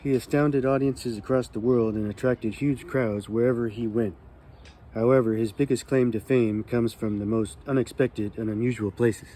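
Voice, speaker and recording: A man hosting his own channel, reading magic history from a book aloud in a calm, relaxed manner. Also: mid-range voice, normal speed. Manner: calm, relaxed delivery meant to soothe. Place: California.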